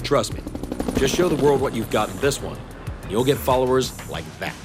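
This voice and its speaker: An actor playing a confident, slick race event organizer in a video game cutscene, smooth-talking and promotional. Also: male voice, mid-range voice, lively intonation, moderate pace, music in the background, close to an everyday voice. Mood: assured, persuasive.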